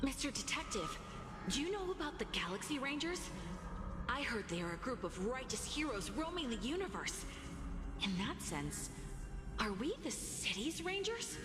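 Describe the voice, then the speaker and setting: A woman's voice: fairly high pitch, big pitch swings, normal speed. Eerie, wildly swooping giddy girl. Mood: giddy, dreamy. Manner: sing-song, dreamy. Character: eerie, giddy, blissfully deluded girl hero. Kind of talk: dramatic video game voice acting. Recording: reverberant hall, music over voice. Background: loud music.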